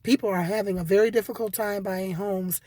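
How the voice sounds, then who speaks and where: A hoarse woman with a cold, talking casually and rambling to her viewers with many repeats and fillers. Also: mid-range voice, steady tone, a little fast, mellow. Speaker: vlogger. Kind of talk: casual solo commentary.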